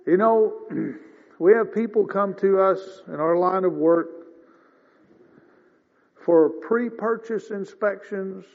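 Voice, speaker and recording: Slow-preaching man in his fifties, high voice. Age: 50s. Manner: folksy, conversational with throat clearing. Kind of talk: sermon. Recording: dull lo-fi sound with long reverb.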